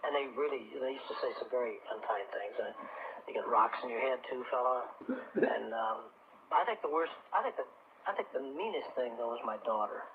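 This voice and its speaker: A middle-aged man being interviewed on a radio show, telling his story in a halting, reflective, conversational way. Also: medium pitch, expressive. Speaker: radio guest, crystal healing teacher. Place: radio talk show broadcast. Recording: muffled, noisy 1980s radio broadcast, phone-like.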